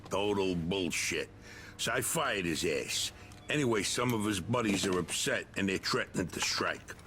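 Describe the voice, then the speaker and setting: A blustering mob boss. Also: male voice, sits low, lively intonation, normal speed, pushed and bright, slight background noise. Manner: blustering, threatening, crude. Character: crooked middle-aged mob foreman, bossy and bullying. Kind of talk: video game cutscene acting. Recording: game audio with music behind.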